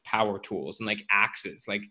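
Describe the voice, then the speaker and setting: Bright-voiced man explaining casually in an interview. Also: lowish voice, measured pace. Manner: informal, filler-heavy with frequent "like" and "you know". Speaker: guest psychologist and author. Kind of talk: conversational interview explanation with anecdotes.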